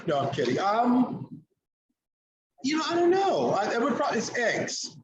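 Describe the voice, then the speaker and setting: An older man with a lively, swooping delivery, talking in an echoey room with clear noise behind him. He chats casually with children, encouraging them and affectionately repeating what they say. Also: very high voice, a little slow, dull audio. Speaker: chef and guest presenter. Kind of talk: casual interactive chat with children.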